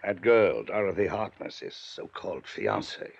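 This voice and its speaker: Domineering wealthy uncle, gravelly, husky, swinging pitch, old radio drama, dull lo-fi sound. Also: male voice, very animated, normal speed, a little hiss or hum, heavily acted. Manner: blustering, then stiffly formal. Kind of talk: 1950s radio drama acting. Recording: dull lo-fi 1950s radio transcription.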